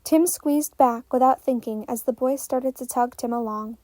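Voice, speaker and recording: बहुत ऊँची आवाज़ में कहानी सुनाती महिला. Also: female voice, high voice, moderate pace, darkish tone, no room sound. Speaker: fan fiction narrator. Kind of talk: expressive story narration.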